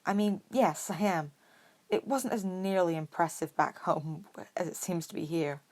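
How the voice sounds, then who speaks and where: A woman's voice: lowish voice, moderate pace, a little mellow, natural voice. Woman as a prickly, plain-spoken young boy. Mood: casual, modest. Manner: grumbling, guarded, plain-spoken. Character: casual, prickly, modest eleven-year-old boy.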